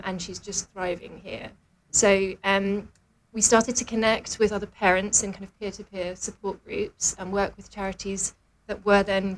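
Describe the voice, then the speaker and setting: A British woman from London speaks in an even, mid-pitched voice. She gives an articulate, unscripted account as an interview guest, over faint room noise. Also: rough recording. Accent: British, London area. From London, England. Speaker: charity director, interview guest. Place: studio.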